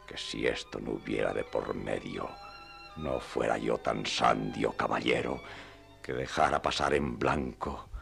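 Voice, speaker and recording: An actor playing a solemn, lovestruck old knight in a radio play, declaiming ceremoniously in a creaky, breathy murmur. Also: male voice, very deep voice, a bit bright, heavily acted. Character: solemn, courtly, deluded old knight in love. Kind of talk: radio theatre acting in archaic language.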